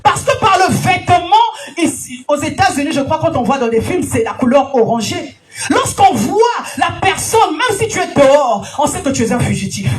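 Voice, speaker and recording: A woman preacher delivering a sermon in an emphatic, sing-song manner, rising to shouts and calling out alleluia between questions to the congregation. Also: sits high, sing-song, moderate pace, faint music behind. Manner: frequent alleluias and direct questions to listeners. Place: church gathering.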